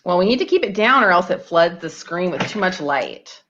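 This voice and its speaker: A woman's voice: on the low side, animated, moderate pace, fairly bright tone, slight background noise. A woman doing a casual show-and-tell as a participant on a video call, speaking into a computer microphone. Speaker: parent showing shadow experiments with a child. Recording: dull, lo-fi video call audio.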